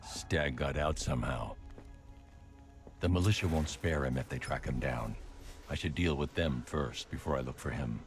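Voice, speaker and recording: A grim masked hero from a video game. His voice sits extremely low and he talks in clipped, deadpan statements, fully controlled, with light music underneath. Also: normal speed. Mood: grim, determined. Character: grim, stoic masked male vigilante hero. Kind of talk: scripted video game acting.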